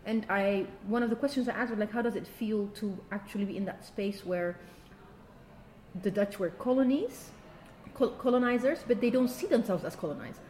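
A woman's voice: medium pitch, brisk, a little mellow, slight background noise, rough recording. A woman from Ethiopia co-hosting a podcast, speaking thoughtfully and often restarting words as she works out her point. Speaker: podcast co-host. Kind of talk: casual interview chat. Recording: faint background ambience.

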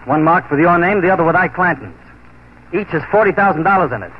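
An actor playing a grim, vengeful frontier marshal with a firm, clipped manner in a 1940s radio play. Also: male voice, a little high, animated, quick pace. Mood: accusing. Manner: firm, clipped, cold resolve. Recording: muffled old radio recording.

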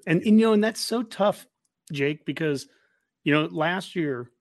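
A man's voice: a little high, animated, unhurried, dry recording. American man hosting, very dry sound. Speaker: podcast host. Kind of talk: casual sports interview chat.

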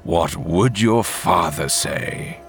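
A man voicing a sly, malicious male genie spirit who growls and mocks in slow, drawn-out words. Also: sits low, vocal fry, faint music behind, pitched below the performer's own voice, full character voice. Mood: mocking.

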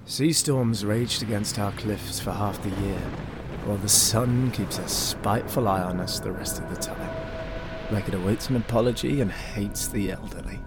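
A man's voice: lowish voice, normal speed, music in the background, close to an everyday voice. A man playing a clever, proud, bitter disgraced young lord in a fantasy audio drama, with theatrical intensity. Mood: proud, storytelling. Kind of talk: high fantasy audio drama acting and narration.

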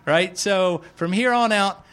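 A man's voice: high voice, slow pace, a bit bright, clean tone. A man of forty hosting a sports podcast, joking and chatty, often restarting his sentences. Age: 40s. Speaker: podcast host. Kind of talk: casual sports podcast chat.

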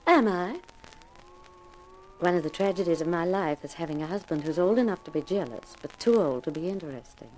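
An actress playing a brazen, flirtatious, mercenary former burlesque performer in a 1950s radio mystery, with a sly, teasing manner. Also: female voice, fairly low pitch, animated, fairly quick, a little mellow, close to an everyday voice, low-quality recording.

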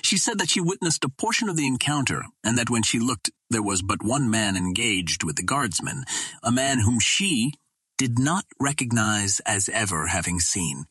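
A man voicing a grave Martian royal psychologist, speaking formally and deliberately with deference to his ruler. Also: medium pitch, very animated, fairly bright tone, husky, dry recording. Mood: grave, assured.

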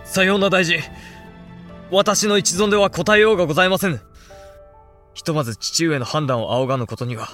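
A man's voice: a little high, a little fast, pauses often, fairly bright tone, faint music behind, natural voice. A man acting an earnest young samurai heir in a period voice drama, urgent, formal and emphatic. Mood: troubled.

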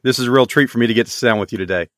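A man's voice: mid-range voice, very fast, a bit bright, no echo, clean studio sound. A man hosting a book podcast, who reads an upbeat introduction and then asks questions with enthusiasm. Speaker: podcast host. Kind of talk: scripted intro then casual interview.

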